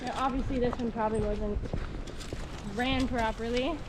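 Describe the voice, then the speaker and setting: A woman's voice: a little high, fairly even intonation, normal speed, poor audio. A woman vlogger chatting casually as she walks around abandoned grounds outdoors. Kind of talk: casual chat while walking. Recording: outdoor background noise.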